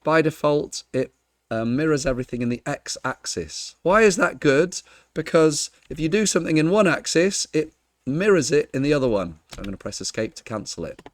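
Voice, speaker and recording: A man with a croaky, half-lost voice, talking through a screen-recorded modeling tutorial in a chatty, jokey way with frequent ums. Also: fairly high pitch, expressive, brisk, no echo. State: croaky, losing his voice. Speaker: Blender tutor.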